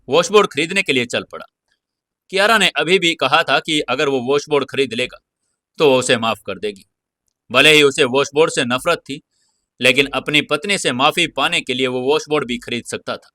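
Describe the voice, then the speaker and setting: A man's voice: mid-range voice, lively intonation, moderate pace, bright, forceful tone, dry recording, clean studio sound. A man narrating an audio serial in a dramatic, scripted storytelling style.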